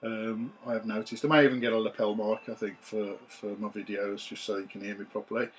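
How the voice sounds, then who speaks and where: A man, his voice a bit rough from recovering after losing it, chatting to camera in a relaxed, rambling way with many ums, recorded on a camera's built-in mic in a small room. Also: lowish voice, brisk, a little hiss or hum, poor audio. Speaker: retro games collector vlogger. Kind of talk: casual chat to camera. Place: small room full of retro computers.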